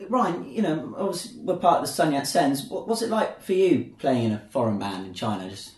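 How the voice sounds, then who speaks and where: Male podcast host, casual and rambling, mid-pitched voice, echoey studio. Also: expressive, a little fast, a little mellow. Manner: rambling, many ums and restarts. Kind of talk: casual chat. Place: indoor studio.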